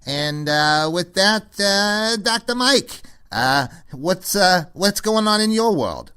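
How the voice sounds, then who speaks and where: Whiny, swooping smug radio host. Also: male voice, sits high, lively intonation, bright, forceful tone, smooth, exaggerated voice. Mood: smug, promotional. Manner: halting, repetitive, chuckles through exasperated complaints. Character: whiny, smug New York radio host, self-promoting arts snob. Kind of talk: comedy impression of a radio talk host.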